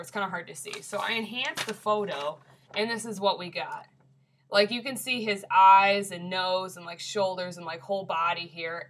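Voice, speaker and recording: A woman's voice: mid-range voice, a bit bright, a little hiss or hum, poor audio. A young woman with a congested, stuffy voice, chatting casually and rambling with lots of fillers as she tells a ghost story to a webcam. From Michigan. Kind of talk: casual video submission.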